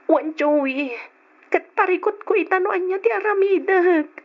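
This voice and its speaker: A woman's voice: very high-pitched, unhurried. An actress playing a young woman in love who fears she is pregnant, in an old radio drama with a lo-fi sound. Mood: worried.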